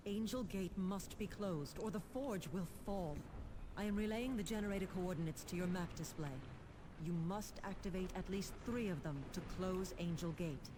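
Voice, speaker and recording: A woman playing a composed, determined government administrator in a sci-fi video game, formal and crisp with dry irony. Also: on the low side, moderate pace, noisy background, natural voice. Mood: urgent briefing. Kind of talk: scripted video game acting.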